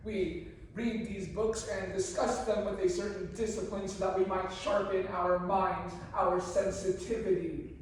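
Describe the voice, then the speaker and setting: A woman's voice: mid-range voice, flat delivery, unhurried, natural voice. A woman playing a stern, exasperated literature teacher, speaking in a firm, didactic, curt way in a stage play. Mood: firm lecturing. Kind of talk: one-act stage play acting. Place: live stage performance, recorded from afar. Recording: very echoey room, distant mic, faint noise.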